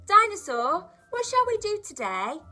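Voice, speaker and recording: Give this storybook boy a bright, high-pitched voice full of eager exclamation, a woman acting him out as she reads aloud. He sounds young and excitable, with music playing along. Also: measured pace, heavily acted. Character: excited, bored little boy Danny.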